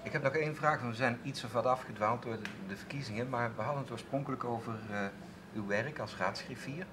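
A man's voice: medium pitch, fast, background noise. A male co-host asking polite, formal questions in a local TV studio. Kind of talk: local TV interview. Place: TV studio with seated audience.

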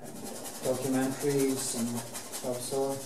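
A man's voice: medium pitch, little pitch movement. A middle-aged man with a Norwegian accent, chatting casually and rambling as he paints in his studio, heard from a camera mic some distance away. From Norway. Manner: slow, rambling, with many restarts and fillers. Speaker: painter teaching oil painting. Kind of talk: casual chat while painting. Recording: roomy and distant, faint hiss.

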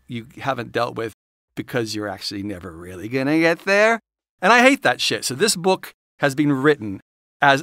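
A man reading his own self-help audiobook in an expressive, irreverent, emphatic, sweary way. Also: medium pitch, no room sound. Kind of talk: expressive audiobook narration with candid, rambling asides. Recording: studio audiobook recording with music behind. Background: music.

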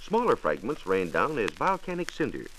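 Low, animated 1940s American radio man. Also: normal speed. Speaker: science reporter. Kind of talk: 1940s scripted radio science narration. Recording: faintly noisy 1940s radio transcription disc.

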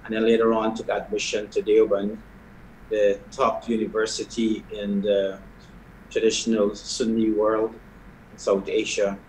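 A middle-aged man from Guyana, a prison chaplain, answering questions in a measured way with hesitations over a video call. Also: lowish voice, little pitch movement, slight background noise. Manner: measured, soft-spoken with hesitations. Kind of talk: interview answers. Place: video call from an indoor hall. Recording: echoey video call audio.

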